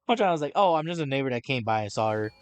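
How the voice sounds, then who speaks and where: A woman's voice: extremely low pitch, lively intonation, racing, darkish tone, no echo. A woman streaming a game and casually reacting to the dialogue.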